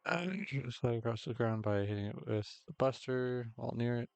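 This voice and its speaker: A young man with a slightly hoarse, rough throat, chatting casually and rambling through gameplay commentary with many fillers and self-corrections. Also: mid-range voice, steady tone, moderate pace, a little mellow. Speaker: gaming video host. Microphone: desk mic with noise gate.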